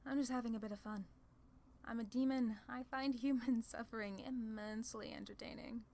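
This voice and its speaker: A woman's voice: a little high, fairly even intonation, fairly quick, mellow, lightly acted. A woman playing a haughty, sly female demon lord in an ASMR roleplay, condescending and playfully theatrical. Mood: teasing amusement.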